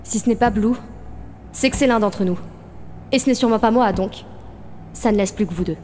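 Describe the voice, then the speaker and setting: A woman voicing a defensive astronaut crewmate who argues and questions insistently in an animated fan dub. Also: medium pitch, brisk, slight background noise, close to an everyday voice. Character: defensive, argumentative female astronaut crewmate, reasoning aloud.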